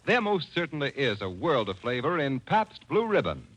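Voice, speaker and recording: Lively 1940s radio pitchman, a man. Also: moderate pace, breathy edge, lo-fi sound. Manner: emphatic, polished sales patter. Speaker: radio announcer. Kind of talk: 1940s radio commercial read. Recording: noisy old 1940s radio broadcast.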